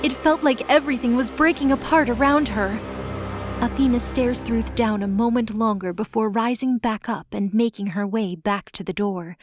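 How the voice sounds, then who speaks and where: A woman with a fairly high voice narrates a scripted audio drama. Her storytelling is dramatic, and music plays clearly behind her. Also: normal speed, no room sound.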